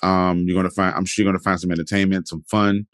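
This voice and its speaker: Deep-voiced laid-back man. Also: level tone, fast talker, a bit bright, dry recording, studio quality. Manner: laid-back, filler-heavy, repeats "definitely" and "man". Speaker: podcast host. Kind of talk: casual interview chat.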